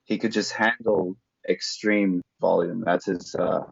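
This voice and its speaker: A man's voice: sits low, steady tone, a little slow, creaky, breathy edge. A man from Slovakia, a native Slovak speaker, chatting casually in an interview as a former pro athlete, searching for words as he goes. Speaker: former professional road cyclist turned mountain bike racer, interviewee. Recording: dull lo-fi audio.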